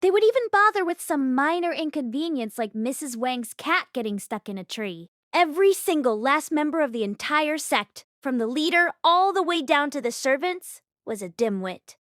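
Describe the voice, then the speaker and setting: A woman narrating a story aloud in an expressive read-along style. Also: high voice, normal speed, few pauses, no room sound, studio quality. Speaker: audiobook read-along host.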